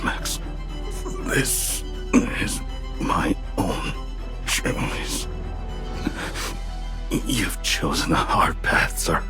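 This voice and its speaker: An actor playing a devoted, dying retainer in a video game cutscene, with a weak, breathy, broken voice and halting delivery. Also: male voice, fairly low pitch, a bit bright, heavily acted. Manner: halting, very slow. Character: devoted, dying male retainer to a young master.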